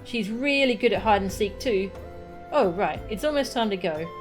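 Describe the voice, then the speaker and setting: A woman's voice: mid-range voice, animated, normal speed, over music, voice pushed up, close to an everyday voice, rough recording. A woman voicing an inquisitive, friendly young child from a video game in a bubbly manner. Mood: curious, excited.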